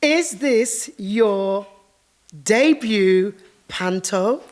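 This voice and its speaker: A woman with a medium-pitched voice hosts a relaxed podcast interview. She is effusive and keen, putting her questions in a chatty, informal way. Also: extremely slow. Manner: enthusiastic, effusive.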